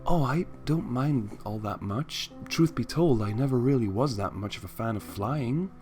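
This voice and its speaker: A man acts out a young female pegasus pony in a storybook reading. She is shy, naive and sweet, speaking with timid hesitation and an animated, swinging melody over music. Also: medium pitch, normal speed, natural voice.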